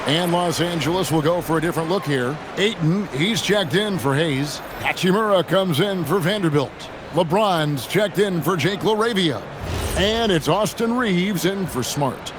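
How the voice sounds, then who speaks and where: A man's voice: high voice, normal speed, noisy background, close to an everyday voice. A man playing a professional play-by-play announcer, commentating on a basketball video game. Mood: steady, businesslike. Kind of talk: sports commentary.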